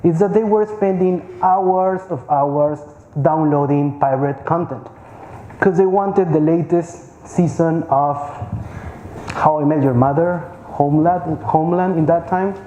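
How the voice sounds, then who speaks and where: A man's voice: fairly high pitch, unhurried, dark tone, dull audio, slight background noise. A man, giving an informal conference talk and often repeating words as he searches for them. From Peru. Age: 30s. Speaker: conference speaker. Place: large conference hall. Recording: echoey hall with faint ambience.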